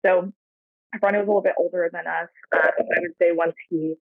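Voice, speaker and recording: Brief: American woman, podcast guest, gravelly and rambling, dry phone-quality audio. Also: fairly low pitch, fairly even intonation, fast talker, dry recording. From San Francisco Bay Area, USA. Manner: reflective, rambling, heavy on fillers. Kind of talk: casual interview chat. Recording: tinny phone-like dry line.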